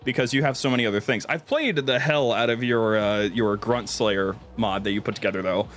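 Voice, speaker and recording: A man's voice: fairly low pitch, a little fast, fairly bright tone, soft background music. A man hosting a livestream, chatting casually and jokingly, with a tendency to laugh. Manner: jokey, breaks into laughter often. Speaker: livestream host. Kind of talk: casual chat over gameplay stream.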